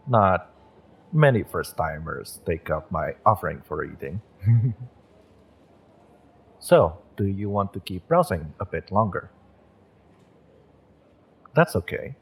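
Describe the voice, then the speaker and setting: A man playing a kind, wistful witch and tarot shopkeeper in a roleplay audio, speaking to the listener in a gentle, encouraging, unhurried way. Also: low-pitched, lively intonation, gravelly, husky, full character voice. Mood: appreciative, friendly.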